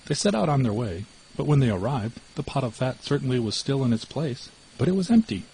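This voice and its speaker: A man reading a fairy tale as an audiobook narrator, in an expressive storytelling style. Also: mid-range voice, a little fast, vocal fry, slight background noise, rough recording.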